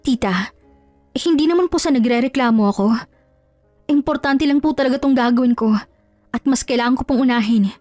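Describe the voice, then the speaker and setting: A woman playing a proud young woman in a love story, courteous but firm and quick to stand up for herself. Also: a little high, a little mellow, no echo, clean studio sound, lightly acted. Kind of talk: dramatized audio love story.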